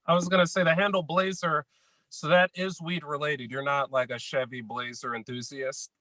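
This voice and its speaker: Dry understated man co-host on a video call, brightish higher voice, no room sound. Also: little pitch movement, normal speed, no room sound. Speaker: podcast co-host. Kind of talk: casual podcast chat.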